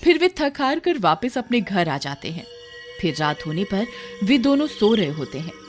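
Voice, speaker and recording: A woman's voice: medium pitch, sing-song, normal speed. A woman narrating a scripted cartoon horror tale in a dramatic storytelling manner. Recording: loud music under the voice. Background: loud background music.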